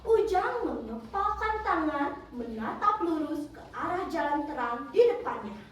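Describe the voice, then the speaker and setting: Female storyteller, very animated and slow, heard at a distance in an echoey room. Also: sits high. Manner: dramatic, deliberate phrasing. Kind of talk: theatrical storytelling, scripted narration. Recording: roomy, distant mic with background noise.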